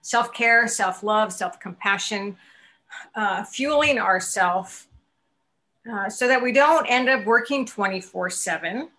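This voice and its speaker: A woman's voice: medium pitch, takes it slowly, pushed and bright. A woman in her sixties hosting an interview, talking in an enthusiastic, encouraging, motivational way. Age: 60s. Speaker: podcast host. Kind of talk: interview chat.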